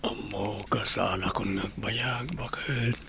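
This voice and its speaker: A man with an Ilocano accent playing a weak, loving, gravely ill husband in a radio drama, breathy and halting. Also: on the low side, fairly even intonation, sharp tone, slight background noise, exaggerated voice. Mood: weak, aching. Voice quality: breathy, strained. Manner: halting, gasping phrases.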